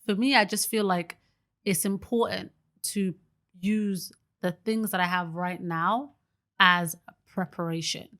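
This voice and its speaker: A woman's voice: medium pitch, steady tone, unhurried, fairly bright tone. A young woman talking as a podcast guest in a casual, earnest way, full of fillers and easy laughter. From Britain. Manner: chatty and earnest, many fillers like "like" and "you know"; laughs easily. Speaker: guest, early childhood educator.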